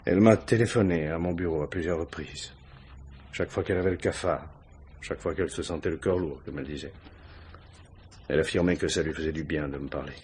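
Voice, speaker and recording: An actor playing an anxious middle-aged married man, husky and halting, confessing to a police inspector in a 1950s-style French radio crime drama. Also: a bass voice, a little mellow, slight background noise. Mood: subdued, regretful. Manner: hesitant, self-justifying, halting.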